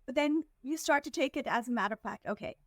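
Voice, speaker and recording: A woman from India, a fundraising executive, giving earnest, measured answers as a podcast guest and slowing down to stress her points. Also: high voice, lively intonation, dry recording, clean studio sound. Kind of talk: reflective interview answers.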